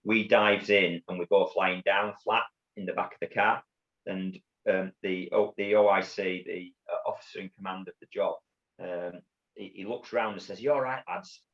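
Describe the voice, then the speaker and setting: A middle-aged man with a Yorkshire accent, telling his story as an interview guest in a halting way, with many restarts and repeated words. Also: low-pitched, fairly even intonation, fairly bright tone. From Bradford, England. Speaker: guest, former undercover police officer. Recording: dull lo-fi audio.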